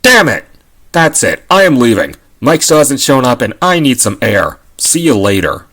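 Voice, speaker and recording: A man's voice: mid-range voice, big pitch swings, moderate pace, fairly bright tone. A man playing an irritable, impatient character in a fan audio drama, blunt and exasperated.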